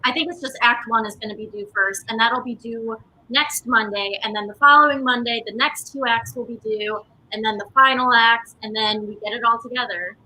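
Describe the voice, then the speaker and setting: A woman's voice: a little high, fairly quick, bright, forceful tone, slight background noise, rough recording. A young adult woman explaining things informally as an interviewee in a casual conversation. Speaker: interviewee, artistic director and actor.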